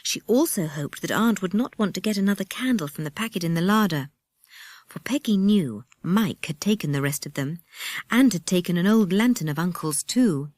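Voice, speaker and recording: Lively lower-pitched British woman reading a story. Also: moderate pace, no room sound, clean studio sound. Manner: expressive, animated storytelling. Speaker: audiobook narrator. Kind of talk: scripted children's storybook narration.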